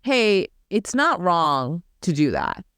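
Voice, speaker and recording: A woman's voice: lowish voice, sing-song, takes it slowly, a bit bright, clean tone, no echo, clean studio sound. A woman co-hosting a podcast whose first language was Korean, speaking conversationally and searching for words as she explains ideas. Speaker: podcast co-host. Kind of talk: casual academic discussion.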